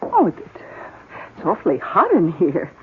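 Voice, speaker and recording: An actress playing a worried, uneasy wife in a 1970s radio drama, with an expressive, hesitant delivery. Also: female voice, lowish voice, slow, heavy background noise, exaggerated voice. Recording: muffled old radio broadcast.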